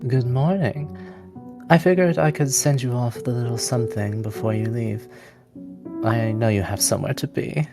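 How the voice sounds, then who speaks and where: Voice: medium pitch, moderate pace, music in the background, lightly acted. A game master voicing a poised half-elven noblewoman in a tabletop game, gracious and slyly teasing.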